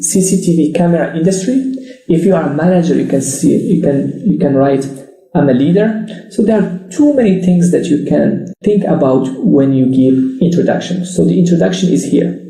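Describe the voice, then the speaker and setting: A man's voice: fairly high pitch, creaky, warm tone. A middle-aged man, a business marketing trainer, explaining a screen-share tutorial step by step in loose non-native phrasing, often checking with "right?". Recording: echoey room.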